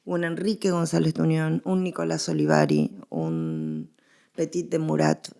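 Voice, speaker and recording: A woman's voice: low-pitched, animated, a little slow, gravelly, warm tone. A woman with an Argentine accent explaining things as an interviewed expert, emphatic and given to repetition. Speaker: interviewed history and literature expert.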